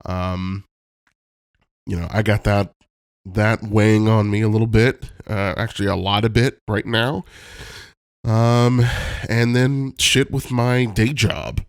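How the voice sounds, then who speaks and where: A man's voice: on the low side, fairly even intonation, takes it slowly, pauses often, smooth, no echo, clean studio sound. A man with a cold, sounding stuffed up, talking candidly and reflectively on his podcast with many ums and casual swearing. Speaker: podcast host. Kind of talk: personal reflective monologue. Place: Los Angeles home.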